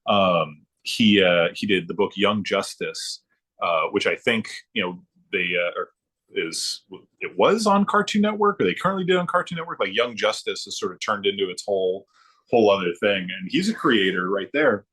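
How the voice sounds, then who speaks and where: A man with a very low, husky voice talks casually as a podcast guest. He rambles in a halting way, repeating words and dropping in frequent ums as he goes. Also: lively intonation, a bit bright. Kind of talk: casual chat about hobbies.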